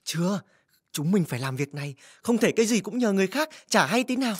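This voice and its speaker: A woman's voice: medium pitch, very animated, quick pace, slightly rough. A woman playing an eager, hard-working young chick in a cartoon, with a chirpy, earnest manner. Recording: loud music behind the voice.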